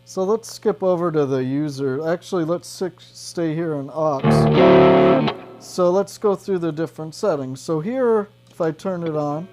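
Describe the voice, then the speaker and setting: A man's voice: a little high, measured pace, warm tone, low-quality recording. A man explaining a guitar effects pedal step by step in an informal demo, often checking in with the listener. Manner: informal, checks in with "right" and "okay".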